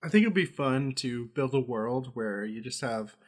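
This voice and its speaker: A man's voice: medium pitch, lively intonation, moderate pace. Man tossing out ideas on a podcast. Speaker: podcast co-host. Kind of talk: casual podcast chat.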